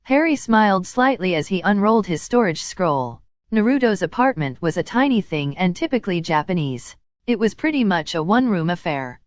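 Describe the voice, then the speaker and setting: Low-pitched woman reading a story, dry recording. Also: dry recording. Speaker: narrator reading fan fiction aloud. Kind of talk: scripted story narration with character dialogue.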